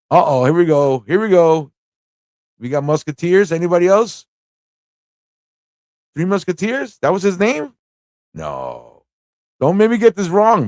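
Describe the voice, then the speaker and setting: A man's voice: a little high, darkish tone, dry recording, clean studio sound. A man hosting a casual live-stream trivia show, chatty and playful, often thinking aloud to his viewers. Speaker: host of a live pop culture show.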